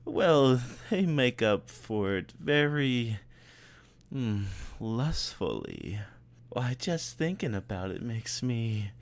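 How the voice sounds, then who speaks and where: A man voicing a genteel, gossipy, dramatic female cartoon pony in an affected, drawn-out manner. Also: mid-range voice, big pitch swings, voice pushed up. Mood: dreamy. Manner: affected, drawn-out, calls listener darling.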